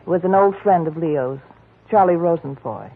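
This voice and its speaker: A glamorous, pleading woman who coaxes in a husky, breathy voice. Her delivery is melodramatic, in 1940s radio style. The broadcast sounds thin and scratchy, like a tinny phone line. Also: fairly low pitch, moderate pace. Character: glamorous woman, the detective's old flame, pleading.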